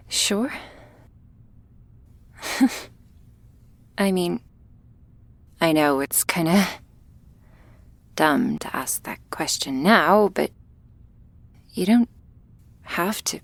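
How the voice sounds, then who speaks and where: Shy female vampire played by a woman for ASMR roleplay: very slow, bashful, halting delivery, soft breathy voice, affectionate and easily flustered. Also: fairly low pitch, animated, dry recording, exaggerated voice. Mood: hesitant, nervous. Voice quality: soft, breathy, often whispery. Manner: bashful, halting, nervously giggly.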